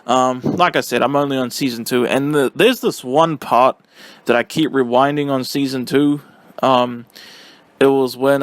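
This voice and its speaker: Male vlogger, average pitch, loose rambling reviewer with a goofy, hesitant delivery, very little room sound. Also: no echo. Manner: silly, giggly, easily cracks up. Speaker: vlogger giving film and game reviews. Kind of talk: casual rambling spoken review.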